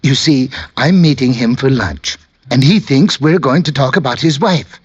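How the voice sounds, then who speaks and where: An actor playing a nervous, fussy, polite client who hesitates and stammers, in an old-time radio drama. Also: male voice, medium pitch, normal speed, breathy edge, lightly acted. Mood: fussy, pleased. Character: timid, nervous, fussy male client, earnest. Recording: dull, lo-fi vintage radio recording.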